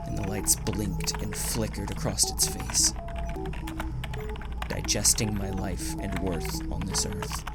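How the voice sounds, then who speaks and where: A man playing an anxious, poetic clerk in a dystopian audio drama, with an earnest, theatrical, emotive delivery. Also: on the low side. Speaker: actor. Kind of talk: audio drama acting, with reflective first-person narration.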